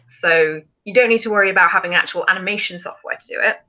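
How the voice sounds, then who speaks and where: A woman's voice: fairly low pitch, quick pace, bright, forceful tone, lo-fi sound. A woman hosting a casual live stream, chatting and explaining things in an informal way. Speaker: film challenge live stream host.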